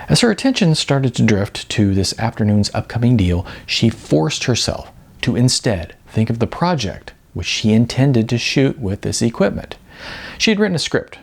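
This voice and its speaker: A man reading aloud from his own novel in an unhurried, expressive storytelling manner, with wide swings in pitch. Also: creaky. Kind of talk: audiobook-style novel reading.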